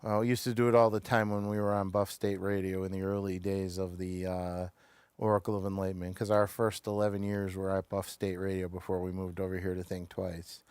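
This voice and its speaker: A man's voice: low voice, little pitch movement, brisk, darkish tone, clean tone, dry recording. A man with an American accent, hosting a casual talk show in a hesitant, wandering way, often trailing off mid-sentence.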